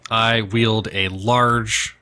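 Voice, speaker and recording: A man's voice: on the low side, fairly even intonation, very slow, pushed and bright, smooth. A man playing a tabletop game, talking casually with a dryly joking, conversational manner.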